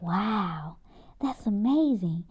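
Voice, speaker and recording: A woman's voice: mid-range voice, lively intonation, drawn-out words, darkish tone, slightly rough, lo-fi sound, heavily acted. A woman reading the part of a curious, enthusiastic young boy in a storybook. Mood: excited. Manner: enthusiastic, inquisitive.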